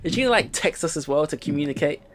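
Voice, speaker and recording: Lively man reading out a game. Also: on the high side, very fast, slight background noise. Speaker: let's play host. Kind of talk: reading game narration aloud with casual commentary. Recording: game music behind the voice.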